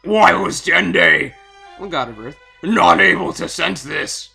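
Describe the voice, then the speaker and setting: A man voicing a shocked, indignant alien warrior hero with melodramatic, shouted demands, in a fan fiction reading. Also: a little high, slow pace, creaky, soft background music, pitched above the performer's own voice, heavily acted. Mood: angry, demanding.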